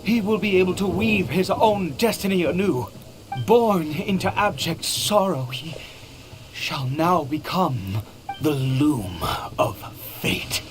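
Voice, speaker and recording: A middle-aged man playing an anguished, fanatical father in a video game, theatrical and intense, with halting dramatic pauses. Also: high-pitched, animated, music in the background. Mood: fervent, awed. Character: anguished father turned fanatical abyss devotee, male, theatrical. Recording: noisy game stream capture.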